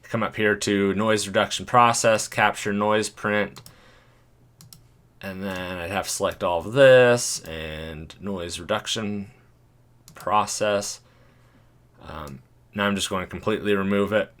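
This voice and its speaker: A man with a deep voice, talking casually and thinking aloud as he walks through an editing tutorial, chuckling now and then. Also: measured pace, fairly bright tone. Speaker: video creator.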